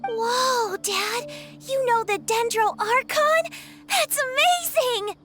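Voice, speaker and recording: A woman voicing an excitable, wide-eyed little daughter in a video game. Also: extremely high pitch, slow. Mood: amazed. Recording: loud music behind the voice.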